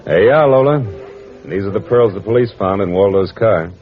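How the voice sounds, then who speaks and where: An actor playing a world-weary, wry American private detective in old radio noir style, talking in clipped slang. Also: male voice, on the low side, moderate pace, natural voice. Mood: gently consoling. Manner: hard-boiled, clipped slang. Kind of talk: 1940s radio noir acting and first-person narration. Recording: dull old radio recording with music.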